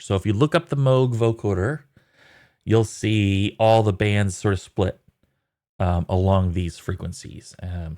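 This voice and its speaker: A man giving a casual technical tutorial as an audio developer, talking in a halting, thinking-aloud way. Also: lowish voice. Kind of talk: casual technical screen walkthrough.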